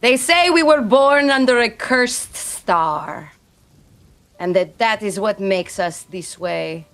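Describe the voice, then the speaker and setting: Woman doing a firm young rebel leader, slow measured rally speech, tabletop game. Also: medium pitch, a bit bright. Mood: rousing.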